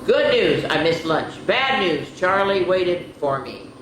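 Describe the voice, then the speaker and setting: A woman's voice: lowish voice, very animated, slow, noisy background. A woman voicing a worried, grumbling young schoolboy in a storybook read-aloud. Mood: earnest, resigned. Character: young schoolboy diarist, worried and grumbling among animal classmates. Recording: roomy echo.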